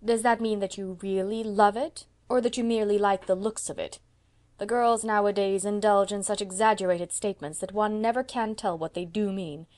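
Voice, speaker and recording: A woman's voice: medium pitch, fairly even intonation, normal speed, darkish tone, lightly acted. A woman voicing a proud, decisive seventy-year-old spinster who owns a house and speaks with emphasis. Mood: emphatic. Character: brisk, proud elderly spinster landlady, 70.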